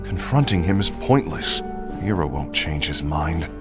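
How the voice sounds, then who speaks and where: An actor playing a hot-headed, earnest comrade rallying allies in an animated game film, speaking with dramatic force. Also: male voice, rumbling low, a little slow, over loud music, heavily acted. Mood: determined, grave.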